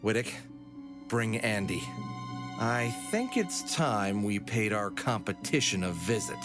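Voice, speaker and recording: A man's voice: lowish voice, lively intonation, slow, music in the background. A man playing a wry, exasperated young gang leader with dry sarcasm in a comic audio drama. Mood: coolly determined. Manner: hesitant asides, dry sarcasm.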